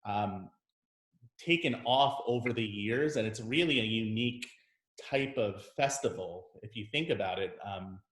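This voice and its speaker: A man, a conductor, hosting a casual interview in a measured, friendly way with many pauses and fillers. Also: lowish voice, fairly bright tone. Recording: roomy echo.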